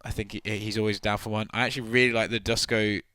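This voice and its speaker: A tipsy man talking as a guest on a casual podcast, loose and quick to laugh. His voice is bright and clear. The sound is dry and close. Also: fairly low pitch, steady tone, no room sound. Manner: loose, laughing, swears freely. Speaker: podcast guest, media personality.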